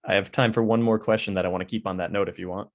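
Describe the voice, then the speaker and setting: Low-voiced male interviewer. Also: fairly even intonation, rapid-fire, dry recording, clean studio sound. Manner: friendly, supportive interjections. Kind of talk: conversational interview.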